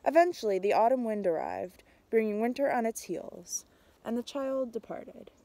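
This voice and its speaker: A young adult woman reading a picture book aloud outdoors, with a measured, expressive storytelling delivery. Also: on the high side, vocal fry, dry recording. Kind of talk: storybook read-aloud, narrated as a tree. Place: outdoors in snowy winter woods.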